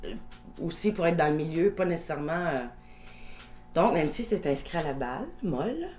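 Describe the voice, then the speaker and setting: A woman in her fifties with a Québécois French accent, recounting her own life story in a measured, reflective way during a documentary interview. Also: low-pitched, expressive, a little mellow, slight background noise. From Quebec.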